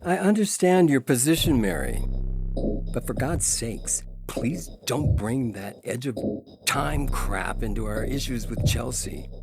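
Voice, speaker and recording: A man's voice: mid-range voice, animated, measured pace, creaky, over music. A man playing an exasperated, reasoning husband and father in an audio science fiction story.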